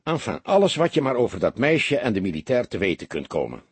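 A man voicing an impatient, commanding police detective in a storybook, firing off brisk orders and probing questions. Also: fairly low pitch, very animated, husky, no room sound, exaggerated voice. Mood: businesslike, instructing. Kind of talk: audiobook character dialogue.

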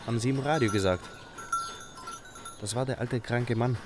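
A male actor playing a naive, earnest fifteen-year-old Albanian shepherd boy with simple, halting speech in a German radio drama. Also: medium pitch, noisy background, lightly acted. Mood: wistful, earnest.

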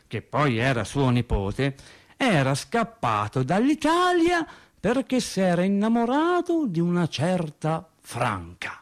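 An actor playing a working-class man telling wry, naive childhood memories in a theatrical radio monologue, with dramatic pauses. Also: high voice. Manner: animated storyteller, dramatic pauses and emphatic swings. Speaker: stage actor.

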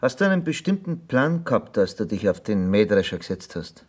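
A man's voice: fairly low pitch, big pitch swings, brisk, breathy edge. A man playing a matter-of-fact questioner in a radio play, probing in formal, plain sentences.

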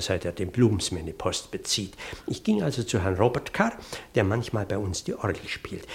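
Fussy, gravelly, long-winded clergyman. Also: sits low. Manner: pedantic, digressive, hesitant, easily flustered. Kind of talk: German radio play acting.

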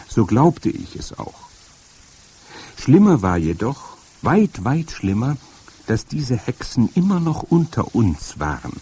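A man narrating a first-person children's story with dramatic, animated delivery. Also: on the low side, breathy edge. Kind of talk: first-person audiobook reading.

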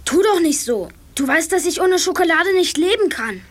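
High-pitched grumbling chubby boy. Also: animated, normal speed. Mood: indignant, whiny. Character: Klößchen, chubby chocolate-loving boy, grumbling sidekick. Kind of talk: German children's audio drama acting.

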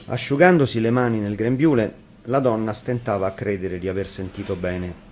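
Low-voiced man reading a fairy tale. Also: normal speed, darkish tone. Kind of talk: scripted fairy-tale narration. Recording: faint noise, live radio broadcast.

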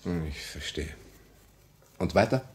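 An actor playing a hardened, suspicious revolutionary in a 1950 radio play, with terse, blunt questions and remarks. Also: male voice, deep voice, expressive, a little slow. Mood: terse, probing. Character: grim, hardened, suspicious male revolutionary terrorist.

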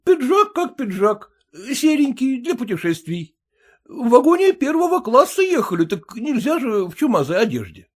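A man's voice: extremely high pitch, sing-song, moderate pace, pitched above the performer's own voice, exaggerated voice. A man voicing a hearty, boisterous newly rich man playing host to friends.

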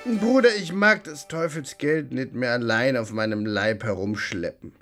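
An actor playing a brutish, greedy robber in a radio play, blustering and emphatic in archaic German. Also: male voice, medium pitch, a little slow, a bit bright, soft background music, natural voice. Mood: grumbling. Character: Olivier, brutish greedy male robber, rough comradely swagger.

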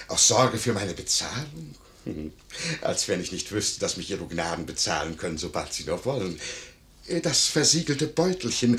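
An actor playing a flustered, scheming, obsequious innkeeper in a 1950s German radio play, stammering and wheedling. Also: male voice, low voice, a bit bright.

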